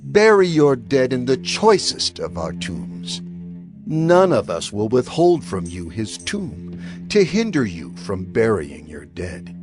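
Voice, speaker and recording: A man's voice: medium pitch, huge pitch swings, slow pace, over music. An actor playing a respectful spokesman of an ancient people in a dramatized scripture recording, declaiming with deference. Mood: respectful, magnanimous. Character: respectful male Hittite elder speaking for his people. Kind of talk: dramatized scripture audio acting.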